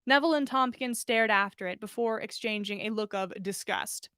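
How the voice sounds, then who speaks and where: A woman narrating a story aloud in an expressive storytelling style, as a podcast host reading fiction. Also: mid-range voice, moderate pace, smooth, dry recording, clean studio sound.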